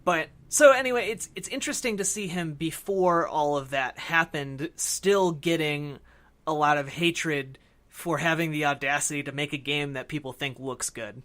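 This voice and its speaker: A man co-hosting a casual film discussion podcast, talking in a halting, discursive way that often hedges. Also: on the high side, fairly bright tone. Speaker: podcast co-host.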